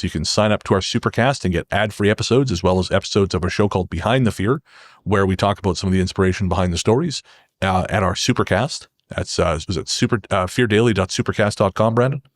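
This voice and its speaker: A man's voice: fairly low pitch, quick pace, no room sound, clean studio sound. A man from Canada talking as a podcast guest in a reflective, conversational way, often restarting his phrases. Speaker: podcast guest, horror fiction creator. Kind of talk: conversational interview talk.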